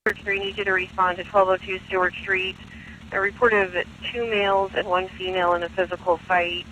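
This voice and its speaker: A man dispatches police units over a noisy radio channel. His voice is high and sharp, with very little movement in pitch, and he relays details in a procedural, matter-of-fact manner. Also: little pitch movement, brisk. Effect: police radio transmission. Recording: police radio with clear background noise.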